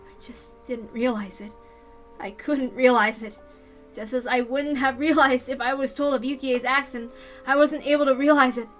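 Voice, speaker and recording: A woman's voice: sits high, steady tone, normal speed, fairly bright tone. A woman reading a story aloud as a dramatic first-person narrator, in a mournful, intense manner. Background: music.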